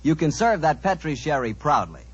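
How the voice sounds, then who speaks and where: A man's voice: a little high, big pitch swings, normal speed, husky. A man with an American accent announcing in 1940s radio style, folksy and salesmanlike. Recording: muffled vintage 1940s radio recording.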